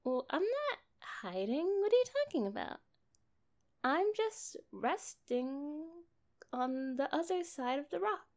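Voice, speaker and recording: Casting: woman to play sweet, naive mermaid for ASMR roleplay. High voice, swooping intonation, unhurried, playful, chatty delivery. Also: heavily acted. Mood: coy, insistent. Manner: playful, chatty, expressive, drawn-out phrasing. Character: kind, bubbly, naive young female mermaid. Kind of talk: ASMR roleplay addressed to the listener.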